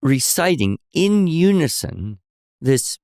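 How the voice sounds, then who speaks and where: A man co-hosting a podcast, telling stories in a relaxed conversational way and explaining with examples and asides. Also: a little high, takes it slowly, dry recording, studio quality. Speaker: podcast co-host. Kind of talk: conversational storytelling.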